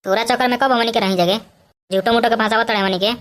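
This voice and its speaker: A woman's voice: fairly high pitch, fairly even intonation, fast talker, a bit bright, close to an everyday voice. A woman voicing a cheeky, argumentative schoolboy with a Bhojpuri accent, brash and colloquial, in a cartoon comedy. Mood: indignant protesting. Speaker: voice actor.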